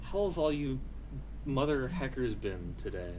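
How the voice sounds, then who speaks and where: Regular-pitched dude hosting a podcast, rambly, puts himself down. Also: male voice, sing-song, a little slow, crowd noise, rough recording. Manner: self-deprecating, rambling. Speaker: podcast host and editor. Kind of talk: casual chat.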